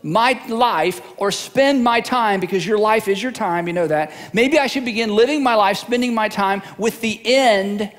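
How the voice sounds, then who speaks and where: A man's voice: high voice, moderate pace, hardly any pauses, a bit bright, big-room sound. A middle-aged male pastor preaching a sermon in a casual, humorous way, often repeating words as he goes.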